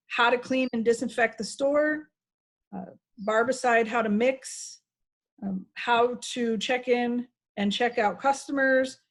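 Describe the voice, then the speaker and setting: A woman trainer giving an informal, instructive walkthrough to staff over a video call. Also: medium pitch. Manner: instructive, conversational. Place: video call with screen sharing.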